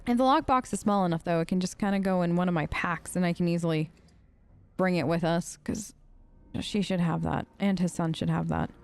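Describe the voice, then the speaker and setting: A woman's voice: fairly low pitch, fairly quick, darkish tone. A woman giving casual, chatty gameplay commentary, often talking to herself and to the characters as she plays. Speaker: let's play host.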